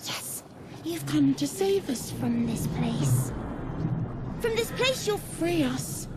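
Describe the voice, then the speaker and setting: A woman voicing an eerie, childlike newborn brain creature that pleads in short, halting, repetitive phrases for a video game. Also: high-pitched, big pitch swings, very noisy, full character voice. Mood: pleading, urgent. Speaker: game character.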